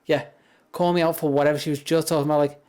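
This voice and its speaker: A man with a deep, grumbly voice chats casually and rambles on a livestream, speaking softly into a close, high-gain mic. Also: little pitch movement, quick pace, clear, resonant tone. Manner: rambling, chatty, soft-spoken without projecting. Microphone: high-gain streaming mic up close.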